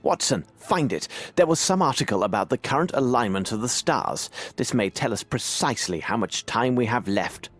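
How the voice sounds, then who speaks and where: An actor playing a grave, commanding gentleman detective in a video game, speaking with measured, intent authority. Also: mid-range voice, expressive.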